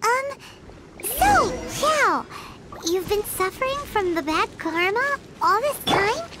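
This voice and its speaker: A woman's voice: very high voice, sing-song, slow pace, heavy background noise, heavily acted. A woman voicing a tiny, chatty female fairy companion in a video game, eager and talking about herself in the third person. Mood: curious. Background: game music.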